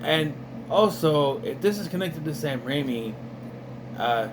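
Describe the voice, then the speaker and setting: A man in casual commentary, reviewing an animated series in a rambling, sarcastic way with lots of fillers. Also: on the high side, unhurried, noisy background. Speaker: video reviewer.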